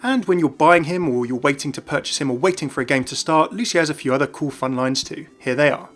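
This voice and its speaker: Casting: male gaming video narrator, enthusiastic scripted read, brisk, on the higher side. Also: a bit bright, soft background music.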